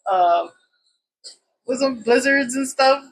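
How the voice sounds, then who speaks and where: A woman's voice: sits high, expressive, slow pace, slight background noise, poor audio. A woman in her thirties from Puerto Rico, a salon owner telling her story in a loose, chatty interview style with plenty of fillers.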